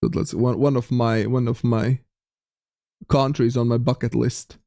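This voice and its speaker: A young man with a light Latvian accent talks casually into a close mic, reading viewers' messages aloud and often restarting his sentences. His pitch sits in an ordinary middle range. The sound is dry, with no room echo. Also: little pitch movement, measured pace, gravelly, mellow. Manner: chatty, reads chat aloud, frequent restarts. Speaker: streamer. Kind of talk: casual live-stream chat with viewers.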